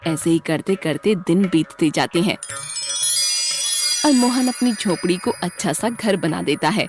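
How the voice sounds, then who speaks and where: A woman's voice: on the low side, expressive, measured pace, over music. A woman narrating a scripted children's story as the storyteller.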